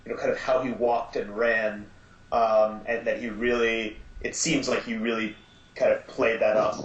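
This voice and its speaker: A man's voice: fairly low pitch, level tone, moderate pace, muffled recording. Roomy-sounding man musing at length. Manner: thoughtful, rambling with many restarts. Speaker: podcast guest. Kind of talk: casual podcast chat. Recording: roomy home podcast audio.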